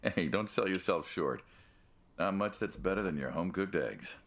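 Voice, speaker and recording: An actor playing an aging American mob man in a video game, folksy and casual but quietly menacing, probing an old friend. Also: sits low, animated, normal speed, heavily acted. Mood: friendly, nostalgic. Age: older. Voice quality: gravelly, husky. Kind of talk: naturalistic video game dialogue.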